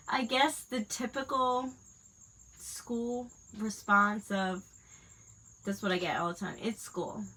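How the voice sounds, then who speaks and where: Crafting host, woman in her 30s, stuffy nose from a cold, crisp bright tone, chatty and rambling. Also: fairly high pitch, slow pace, long pauses, poor audio. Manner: rambling, many fillers. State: congested from a cold. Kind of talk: casual chat while crafting.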